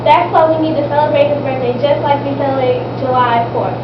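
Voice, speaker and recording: A woman's voice: high-pitched, steady tone, brisk, lightly acted. Young actress for outspoken, eager schoolgirl pupil in amateur theatre; distant, noisy recording. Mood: eager, reciting. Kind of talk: amateur stage play recitation. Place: indoor room at a public library. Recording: distant, echoey, muffled, noisy room recording.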